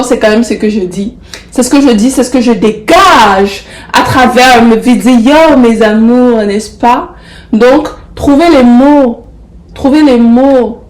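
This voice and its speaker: A young woman with the flu talks straight to her viewers in a fairly high, congested voice. She coaxes them warmly and drives points home by repeating herself. The room around her gives the sound a roomy echo. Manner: affectionate, coaxing, repeats herself for emphasis. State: has the flu, stuffy nose. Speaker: motivational vlogger. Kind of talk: casual motivational talk to camera.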